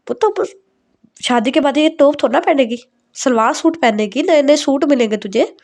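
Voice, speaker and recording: A woman voicing an affectionate middle-aged mother who speaks in a gentle, coaxing way. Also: sits high, lively intonation, normal speed, voice pushed up.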